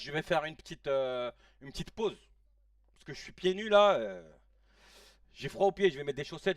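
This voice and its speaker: A man in his late thirties hosting a casual live stream, reacting excitedly to a football match in slangy chat and shouting at the players. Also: high voice, no room sound, clean studio sound, far from the mic. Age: 30s. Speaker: live-stream watch-along host.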